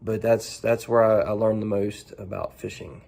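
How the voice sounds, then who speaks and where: Gravelly, even-toned man talking casually. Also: on the low side, moderate pace. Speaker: kayak tournament angler answering profile questions. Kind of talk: casual monologue answering set questions.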